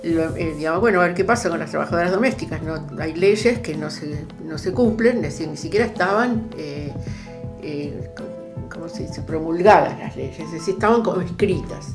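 An older woman with an Argentine accent reminiscing in an interview, halting and searching for words. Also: low-pitched, over music. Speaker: interviewee, popular radio producer.

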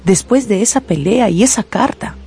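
A woman narrating a radio drama in a scripted, dramatic style, with deliberate, suspenseful phrasing. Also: mid-range voice, expressive, creaky, dark tone, faint music behind.

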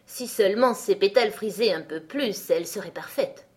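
A woman's voice: medium pitch, moderate pace, a little mellow, natural voice. A woman voicing a proud, short-tempered tiger lily in a children's storybook, with a commanding, scolding manner. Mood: bossy.

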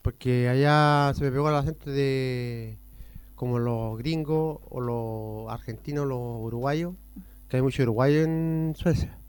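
A man's voice: medium pitch, steady tone, measured pace, darkish tone, clear, resonant tone. A Chilean man being interviewed on a radio show, telling rambling stories that jump between topics. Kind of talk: casual rambling storytelling.

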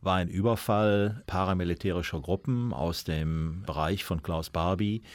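A man's voice: low voice, normal speed, no room sound. A man explaining events factually, as an expert commentator in a radio documentary.

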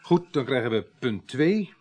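An actor playing a methodical police inspector in a Dutch radio drama, blunt and colloquial with some hesitation. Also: male voice, medium pitch, expressive, a little slow, darkish tone. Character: plain-spoken, methodical male police inspector.

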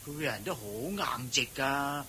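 A timid older father-in-law in a Cantonese radio play. His medium-pitched voice is very tense and forceful, yet he stammers and dodges. There is faint noise on the recording. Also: animated, a little slow. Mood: hesitant. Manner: stammering, hemming and hawing. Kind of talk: Cantonese radio drama acting.

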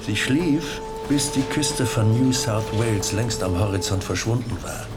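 Guy acting a wry old-school ship captain telling his tale, really low voice, music behind. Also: unhurried, natural voice. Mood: tender, reflective. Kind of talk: first-person dramatic monologue in a radio play.

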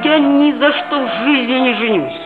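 A woman's voice: sits high, normal speed, phone-quality sound, lightly acted. An actress playing an earnest, proud seventh-grade schoolboy who narrates his own story in a 1960s radio play. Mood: hurt, stubborn. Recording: thin band-limited old radio recording, music behind.

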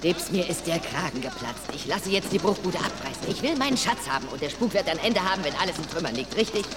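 A woman's voice: on the low side, very animated, fast talker, background noise. A woman playing a greedy, short-tempered, commanding villainess in a German audio drama. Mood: exasperated, scornful. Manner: commanding, scornful. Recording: roomy echo, music underneath.

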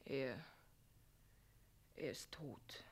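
An actress playing a withdrawn, grieving young bride in a radio play, speaking in hushed, halting, terse phrases. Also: female voice, very deep voice, husky, exaggerated voice.

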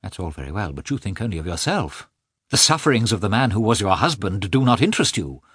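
A man reading an audiobook, voicing a cold, offended aristocratic husband in a squeaky, raised voice with coldly emphatic speech. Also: very animated, quick pace, fairly bright tone, husky, dry recording, studio quality, full character voice. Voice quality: squeaky, high-strung. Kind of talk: audiobook character voice.

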